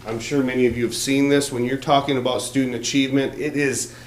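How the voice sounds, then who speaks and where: A man's voice: mid-range voice, level tone, fast. A man speaking as a school superintendent, giving a plainspoken report to a township board. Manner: loud, plainspoken presenter. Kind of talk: public meeting presentation. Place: township board meeting room. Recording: echoey room with faint noise.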